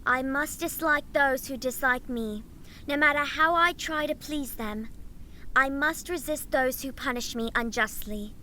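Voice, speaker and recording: A woman's voice: high voice, unhurried, bright, forceful tone, a little hiss or hum, poor audio. A woman playing a passionate, outspoken young orphan girl in an audio drama. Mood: indignant. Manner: vehement, outspoken.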